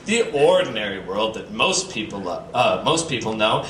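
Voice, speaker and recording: A man's voice: fairly low pitch, very animated, moderate pace, a bit bright. A man giving a theatrical live reading with joking asides and campy, playful emphasis, as a reader at a comedy night. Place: bistro venue in front of an audience. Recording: roomy, distant, noisy live stream capture.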